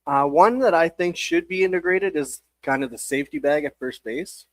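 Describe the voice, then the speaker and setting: A man speaking as a baseball coach, giving casual, measured interview answers with hesitant phrasing. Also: fairly high pitch, dry recording, studio quality.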